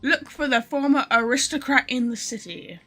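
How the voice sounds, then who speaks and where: Woman game streamer, high and bright, informal, sweary, self-deprecating live chat. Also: normal speed, soft background music. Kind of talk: casual live gameplay chat, reading some lines aloud. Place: bedroom.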